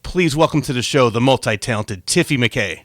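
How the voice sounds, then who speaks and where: Bright-voiced man hosting an interview. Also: medium pitch, expressive, normal speed, no room sound. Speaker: talk show host.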